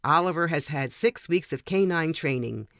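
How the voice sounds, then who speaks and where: A man with a slightly high voice reads a light, humorous story aloud in a narrator's style. The recording is dry and close, with little sound of the room. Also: expressive, normal speed, a bit bright, no room sound. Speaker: reader of short stories for a podcast.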